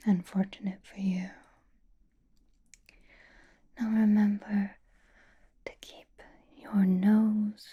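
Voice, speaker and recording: A woman playing a flirtatious, possessive female vampire in an ASMR roleplay, softly whispering with teasing endearments. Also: medium pitch. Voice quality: soft breathy whisper. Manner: slow, teasing, drawling endearments.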